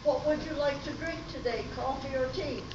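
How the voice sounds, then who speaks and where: A woman's voice: high voice, normal speed, natural voice. A woman playing an unbothered diner waitress giving dry comic answers in an amateur radio play. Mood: matter-of-fact. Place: live stage at an amateur arts festival. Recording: echoey hall from far off, muffled, noisy.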